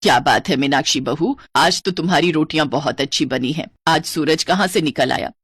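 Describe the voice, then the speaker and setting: A woman's voice: deep voice, brisk, fairly bright tone, husky, dry recording, clean studio sound, voice pushed down. A woman voicing a stern, matronly old mother-in-law in a moral story, admonishing her daughters-in-law. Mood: pleasantly surprised.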